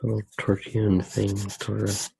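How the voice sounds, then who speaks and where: A man speaking softly in an ASMR style, musing hesitantly and without a script as he narrates his drawing. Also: on the low side, completely flat delivery, moderate pace, vocal fry, a little hiss or hum, poor audio. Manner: hushed, hesitant, unscripted musing. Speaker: host of an ASMR drawing channel. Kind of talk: soft-spoken ASMR narration while drawing.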